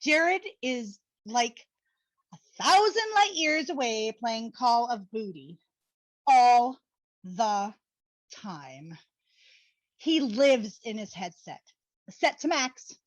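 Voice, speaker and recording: Woman acting high, swooping, gushy young best friend. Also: lively intonation, takes it slowly, pauses often, dry recording. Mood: lonely, complaining. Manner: exclamatory, dramatic, valley-girl slang. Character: Brooklyn, gushing, needy, blunt young married best friend. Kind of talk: online play reading, comedic dialogue.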